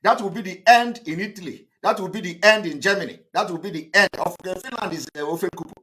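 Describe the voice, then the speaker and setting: High-pitched, defiant Nigerian man. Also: quick pace, sharp tone. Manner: emphatic, repetitive, defiant. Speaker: political activist broadcaster. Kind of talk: impassioned political monologue to camera.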